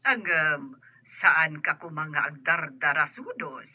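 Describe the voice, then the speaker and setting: A woman's voice: low-pitched, animated, slow, slightly rough, dry recording, dull audio, exaggerated voice. A woman playing an affectionate middle-aged mother coaxing her grown daughter over the telephone in a radio drama.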